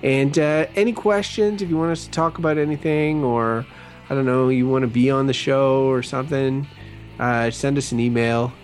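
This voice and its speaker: A man's voice: medium pitch, fairly even intonation, moderate pace, faint music behind. A man co-hosting a podcast in casual banter, chatty and rambling, with many fillers. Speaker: podcast co-host.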